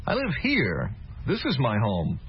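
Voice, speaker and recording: A man's voice: medium pitch, wildly swooping pitch, a little slow, a little hiss or hum. A man acting in a scripted English teaching dialogue. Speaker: actor in language lesson dialogue. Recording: dull lo-fi audio, faint guitar.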